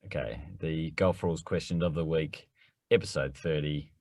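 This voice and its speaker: A man co-hosting a golf rules podcast, explaining quickly and teasing his partner in casual chat. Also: a bass voice, darkish tone, no echo, clean studio sound. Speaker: podcast co-host.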